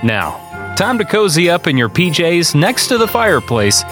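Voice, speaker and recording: A man, a podcast host, speaking in an expressive storybook narration style. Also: on the high side, moderate pace, music in the background. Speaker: podcast host reading a children's book aloud.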